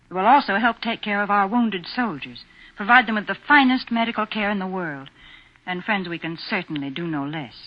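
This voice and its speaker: An American woman announcing a sponsor's message on 1940s radio, speaking to listeners in a folksy, earnest way. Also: mid-range voice. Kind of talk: 1940s radio advertising pitch. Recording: muffled 1940s radio broadcast.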